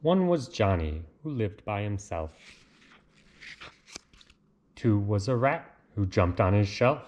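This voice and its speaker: A man reads a children's storybook aloud in a deliberate, lilting way, with casual asides. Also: fairly low pitch, expressive, darkish tone, highs cut off, slight background noise. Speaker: reader of picture books.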